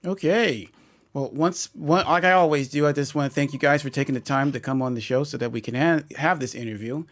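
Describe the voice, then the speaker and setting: A man's voice: fairly high pitch, brisk. A man hosting a gaming channel, giving a casual, rambling update and then interviewing game developers. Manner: rambling, upbeat, many restarts.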